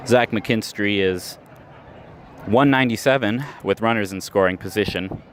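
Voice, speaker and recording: A man doing radio play-by-play of a baseball game, giving a measured call with stats between pitches, recorded live at an outdoor ballpark. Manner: steady, measured call with stats between pitches, rising sharply on big plays. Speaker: play-by-play announcer. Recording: live ballpark recording with clear crowd noise.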